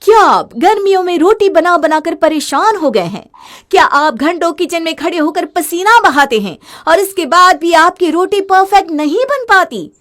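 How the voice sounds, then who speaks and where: A woman voicing a peppy advert announcer with a salesy pitch inside a story. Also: animated, moderate pace, a bit bright. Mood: enthusiastic selling. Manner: salesy, rhetorical questions. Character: peppy female advert announcer selling kitchen gadgets.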